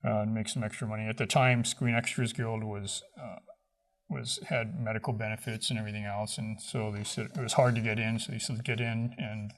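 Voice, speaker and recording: Male interview guest, stunt veteran, low gravelly voice, easygoing and rambling. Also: a little fast. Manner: relaxed, rambling, many fillers, light laughs. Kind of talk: casual interview answers.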